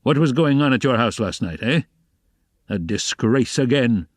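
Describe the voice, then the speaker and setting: A bullying officer rants at the top of his voice, as a man puts him on. His pitch jumps around with rage. The recording is dry. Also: expressive, normal speed, no room sound, close to an everyday voice. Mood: furious. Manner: shouting, scolding. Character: enraged pompous male police officer, bullying.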